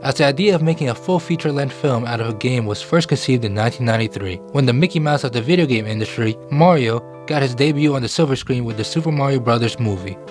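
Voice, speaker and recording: A man with an average-pitched voice narrates a scripted video essay as its host. Faint music plays beneath his commentary. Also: quick pace, few pauses.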